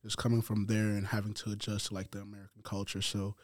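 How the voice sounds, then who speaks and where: A man in his twenties from Haiti, a college football player answering interview questions in a soft-spoken, halting way. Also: fairly low pitch, monotone, no echo, studio quality. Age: 20s.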